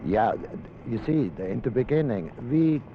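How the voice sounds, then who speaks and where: A man, answering a street interview haltingly with many fillers. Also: medium pitch, expressive. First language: Swiss German. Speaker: club member interviewed. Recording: dull old film audio with loud street noise.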